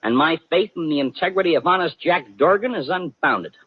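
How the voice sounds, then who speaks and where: An American actor in a 1950s radio drama playing a naive, excitable young would-be detective who stammers when flustered and dramatizes himself. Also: male voice, fairly high pitch, brisk, husky, no echo. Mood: earnest, pompous. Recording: muffled vintage 1950 radio broadcast.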